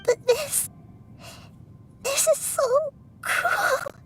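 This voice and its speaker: A woman voicing a small childlike goddess in a game cutscene, soft and girlish, halting and earnest in her grief. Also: very high voice, faint music behind, heavily acted. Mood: pleading, tearful. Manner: halting, pleading. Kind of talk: dramatic video game cutscene acting.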